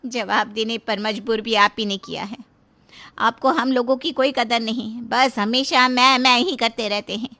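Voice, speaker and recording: A woman's voice: fairly high pitch, steady tone, unhurried, bright, forceful tone, voice pushed up. A woman voicing a dutiful, long-suffering middle-aged mother-in-law in a moral story, reasoning earnestly. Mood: reproachful. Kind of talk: acted dialogue in a moral story.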